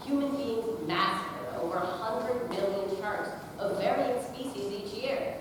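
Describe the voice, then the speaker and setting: A woman's voice: on the high side, unhurried, natural voice. A woman playing a pompous, indulgent upper-class parent in a theatrical stage comedy, enunciating dramatically. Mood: grave, lecturing. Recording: very noisy echoey hall, far off.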